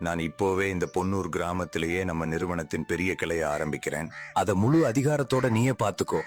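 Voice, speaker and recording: A man's voice: low voice, a little fast, lightly acted. A man playing a protective, wealthy elder brother in a dramatised moral story. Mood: reassuring.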